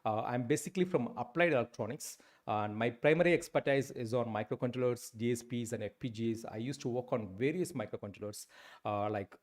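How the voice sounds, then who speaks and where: A middle-pitched man in his forties speaks with an Indian English accent as he teaches a live online session. He talks into a close desk mic and stumbles often, with many fillers and restarts. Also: a little fast. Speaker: instructor. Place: indoor room, webcam.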